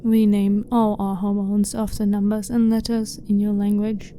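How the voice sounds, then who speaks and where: Female alien scientist acted by a woman, blunt and clinical yet harmless, very soft dark tone, ASMR sci-fi roleplay over noticeable background ambience. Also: mid-range voice, level tone, natural voice. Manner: blunt, formal, matter-of-fact, measured. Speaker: ASMR voice performer. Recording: clearly noisy ambient background.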